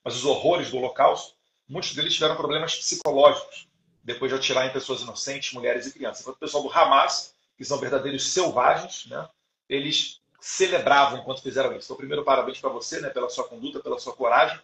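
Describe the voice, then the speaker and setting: A man hosts a live stream in an echoey room, his voice gravelly with fry. He speaks conversationally, repeating words and adding fillers as he introduces and questions a guest. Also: mid-range voice, steady tone, fast, pushed and bright.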